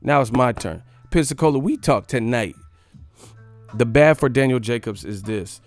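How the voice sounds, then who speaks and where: A man's voice: medium pitch, a little mellow, no echo. Clean-voiced American man talking fight analysis. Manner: blunt, slangy, swearing. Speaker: live-stream boxing commentator. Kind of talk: casual opinionated fight analysis to live viewers.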